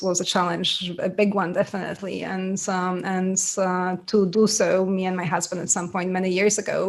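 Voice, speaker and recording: This is a woman with a Polish accent who answers an interviewer's questions at length. She speaks in an even, level tone with a gravelly, fry-tinged texture, often restarting a phrase and adding a small laugh. Polish is her first language, and she speaks at a middling pitch. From Poland. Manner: thoughtful, self-correcting, laughs lightly. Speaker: author guest. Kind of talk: podcast interview.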